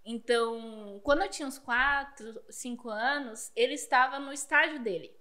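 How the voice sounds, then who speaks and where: A woman with a high voice tells a long childhood story in a relaxed podcast chat. She laughs often as she talks. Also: moderate pace. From Paraguay border region. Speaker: guest.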